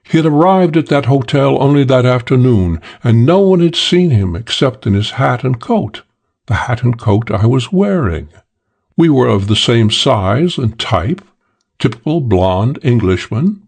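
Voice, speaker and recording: Guy doing a cool english gentleman crook for an audiobook, gravelly and husky, wry and clipped. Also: mid-range voice, animated, measured pace. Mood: tense, composed. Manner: clipped, wry, old-fashioned British slang. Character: suave English gentleman thief, cool and self-assured. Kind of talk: confessional crime story monologue in an audiobook.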